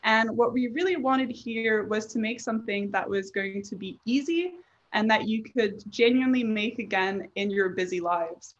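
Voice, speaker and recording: A woman presenter explaining things in an informal, conversational way during an online slide presentation. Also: mid-range voice. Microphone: online video presentation. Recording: dull, lo-fi online-call sound.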